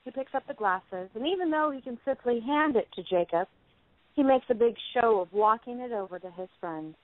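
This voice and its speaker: A woman's voice: on the high side, lively intonation, moderate pace, no room sound. A woman narrating the stage directions of a play in a scripted, dramatic reading. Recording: tinny phone-like band.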